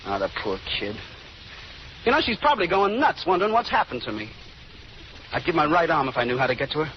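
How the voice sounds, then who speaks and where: A man's voice: on the high side, very animated, fast talker. A man playing a tough gangster boss in an old radio drama, talking in hard slang. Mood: pining. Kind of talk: 1930s-40s radio drama acting. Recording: muffled, noisy old radio recording.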